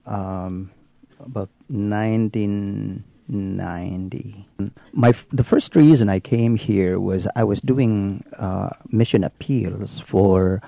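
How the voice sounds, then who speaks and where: Gravelly, low Filipino-accented priest. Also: male voice, warm tone, dry recording. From Philippines. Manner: unhurried, halting, many ums and restarts. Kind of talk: telling his life story in an interview.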